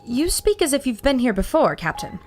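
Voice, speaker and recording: A woman's voice: high-pitched, sing-song, fairly quick, a little mellow, a little hiss or hum. A woman playing an alert, observant airship crew member in an audio drama, describing things with urgency. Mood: curious.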